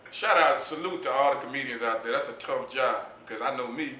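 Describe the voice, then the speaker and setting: A man with a deep voice, speaking as an interview guest in a laid-back, reflective way about his poetry and youth mentoring. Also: a little fast, pushed and bright, roomy sound, a little hiss or hum. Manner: laid-back, reflective, says "you know what I'm saying" often. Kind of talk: casual interview talk.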